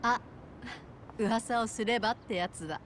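An actress playing a friendly, straightforward bar owner in a video game, talking casually and directly. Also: female voice, fairly high pitch, a little slow, a little hiss or hum, close to an everyday voice. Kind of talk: video game cutscene acting.

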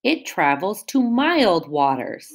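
A woman's voice: mid-range voice, sing-song, slow. A woman teacher reading a picture book aloud to young children, explaining words and asking them questions in a sing-song way.

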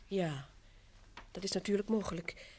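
An actress playing an anxious married client of a detective in a Dutch radio play, formal and earnest. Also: female voice, mid-range voice, moderate pace, a little mellow, husky, close to an everyday voice. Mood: earnest, worried. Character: anxious, grateful married woman seeking a detective's help.

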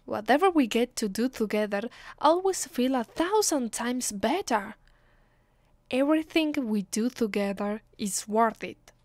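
A woman with an Italian accent playing an affectionate, playful vampire girlfriend in an ASMR roleplay, speaking softly, intimately and teasingly. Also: fairly high pitch, expressive, measured pace, no room sound, clean studio sound. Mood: tender, loving. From Italy. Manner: soft, tender, teasing, intimate. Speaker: voice actress.

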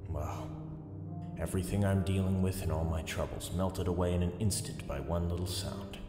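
A man's voice: sits low, fairly even intonation, moderate pace, natural voice. A man playing a weary, devoted policeman with a dry, earnest manner in an audio drama. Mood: tender. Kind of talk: dramatic audio drama dialogue and inner monologue. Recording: roomy reverb, faint music behind.

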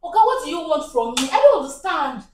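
Woman acting as a fed up young nigerian barber, high voice jumping around, protesting, far from the mic. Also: very animated, quick pace. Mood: angry. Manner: protesting, exasperated, repeats herself. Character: indignant young female home-service barber fending off harassment. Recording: distant mic, echoey room, noisy.

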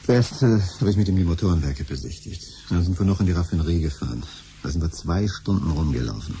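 An exasperated middle-aged schemer of a father in a seventies radio drama. His very low, dark voice moves in lively swings of impatience. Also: fast, slight background noise. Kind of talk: 1970s German science-fiction radio play acting.

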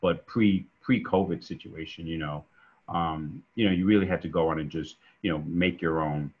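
A middle-aged man, chatting relaxed as a guest on a video call, with many fillers. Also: deep voice, normal speed, breathy edge. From New York. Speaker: guest musician. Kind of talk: casual interview over video call. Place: home room indoors. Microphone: video call webcam. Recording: dull lo-fi call audio.

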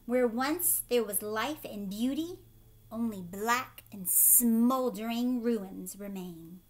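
A woman's voice: fairly high pitch, slow. Woman playing a squawking stern toucan. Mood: stern warning. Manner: squawking, forceful.

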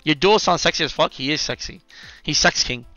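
A young man streaming a game, excitably calling out short, repeated instructions to his teammates. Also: fairly high pitch, fairly quick, highs cut off. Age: young adult. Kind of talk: live gaming commentary and team callouts. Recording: music behind the voice.

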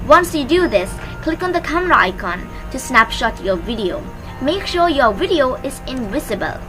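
A woman's voice: sits high, sing-song, moderate pace. A young woman hosting a tutorial, giving scripted step-by-step instructions into a close microphone. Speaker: tutorial host. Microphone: close microphone on a stand. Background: background music.

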